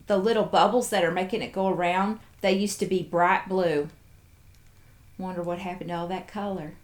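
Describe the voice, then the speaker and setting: A woman's voice: on the low side, fairly even intonation, moderate pace. A woman hosting a casual show-and-tell, chatting playfully and cooing as if to little toys and viewers. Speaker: host of a toy show-and-tell video.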